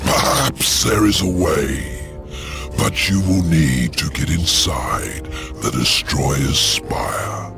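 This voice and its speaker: An actor playing a sly, commanding demon lord in a fantasy game, with theatrical, imperious delivery. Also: male voice, rumbling low, slow pace, gravelly, exaggerated voice. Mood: scheming, commanding. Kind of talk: dramatic fantasy game acting. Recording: music behind the voice.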